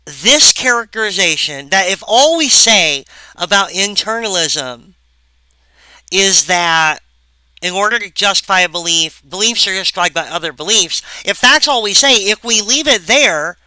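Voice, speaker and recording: Woman, philosophy lecturer, crisp clear voice, dry close sound, wry asides. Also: medium pitch, lively intonation, sharp tone, no echo, poor audio. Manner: halting, wry asides to the viewer. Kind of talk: recorded lecture.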